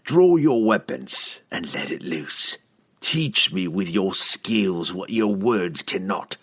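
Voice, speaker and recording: A man voicing a cold, taunting assassin with a slow, purring, menacing delivery in a fantasy audiobook. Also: sits low, expressive, fairly bright tone, breathy edge, exaggerated voice. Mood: purring menace. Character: sinister, cold, taunting human male assassin.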